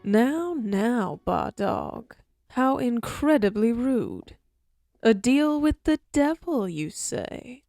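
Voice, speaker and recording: A slow-drawling, taunting outlaw ringmistress. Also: female voice, on the high side, big pitch swings, dark tone, no room sound, faint music behind, exaggerated voice. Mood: mocking menace. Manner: theatrical, mock-polite, drawling threats. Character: taunting female outlaw circus ringmaster, coolly menacing. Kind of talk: Old West audio roleplay acting.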